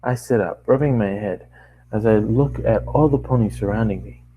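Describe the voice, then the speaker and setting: A man narrating a first-person story as an amateur reader, halting now and then to correct his phrasing. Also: on the low side, slightly rough, phone-quality sound.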